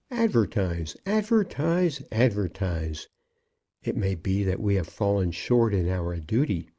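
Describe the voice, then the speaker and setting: A man's voice: fairly low pitch, warm tone, full character voice. A man voicing a pompous, earnest junior business partner, formal and insistent, in an audiobook. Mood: indignant, impassioned. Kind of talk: audiobook character acting.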